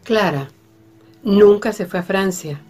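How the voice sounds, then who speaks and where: A woman's voice: mid-range voice, takes it slowly, mellow, faint music behind, lightly acted. An actress playing a composed, discreet lady of a ranch-owning family in a radio drama, with a Rioplatense accent. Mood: grave, confiding. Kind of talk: radio soap opera acting.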